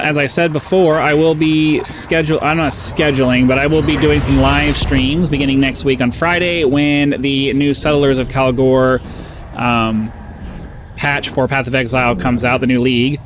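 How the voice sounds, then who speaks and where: Easily distracted male gaming host, nasal, mid-pitched, chatty and unscripted; light game ambience behind. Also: fairly even intonation, normal speed, slight background noise. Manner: rambling, easily distracted, frequent fillers. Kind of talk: rambling gameplay commentary.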